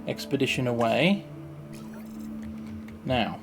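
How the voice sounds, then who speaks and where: Gravelly-voiced man muttering through a game. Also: mid-range voice, animated, takes it slowly, over music. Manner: thinking aloud, muttering to himself. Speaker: streamer playing a park-building game. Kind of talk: casual gameplay commentary.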